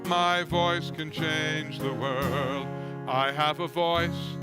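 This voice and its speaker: A man with a somewhat high voice leads a worship service in a synagogue sanctuary. He sings slow repeated lines and talks casually to the congregation. A guitar plays clearly behind him. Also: steady tone. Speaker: service leader. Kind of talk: singing and leading a worship service. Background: guitar music.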